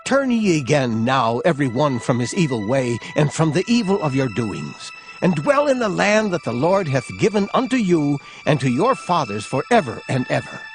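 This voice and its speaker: A man's voice: a little high, very animated, normal speed, hardly any pauses, soft background music. An actor playing an earnest male prophet pleading for repentance, solemn and exhorting in a dramatized scripture reading. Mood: urgent pleading.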